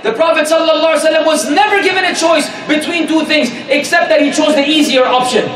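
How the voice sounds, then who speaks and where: High-pitched, emphatic male preacher in echoey room. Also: a bit bright. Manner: emphatic, exhortatory, with deliberate repetition. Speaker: preacher giving Friday sermon. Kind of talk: sermon. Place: indoor room in a mosque. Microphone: stand microphone in front of speaker. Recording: echoey, noisy room, distant-sounding.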